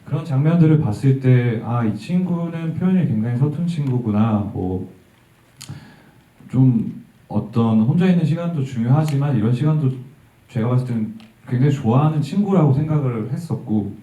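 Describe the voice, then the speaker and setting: A male actor answering an audience question, thoughtful and halting, with soft laughs. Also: mid-range voice, expressive. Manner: reflective, halting, laughs softly. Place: event hall. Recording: tinny, distant sound in a roomy hall.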